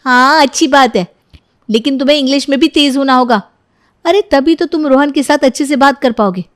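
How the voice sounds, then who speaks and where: A woman's voice: high-pitched, a little fast, lightly acted. A woman voicing an envious, nagging mother and daughter-in-law in a moral story, scolding and complaining.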